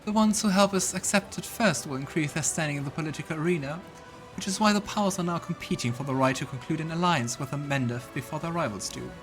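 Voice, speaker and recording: A man puts on a courtly female diplomat from a fantasy game. The voice is pitched up, formal and measured in its persuasion, over soft music. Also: lightly acted. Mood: formal, persuasive.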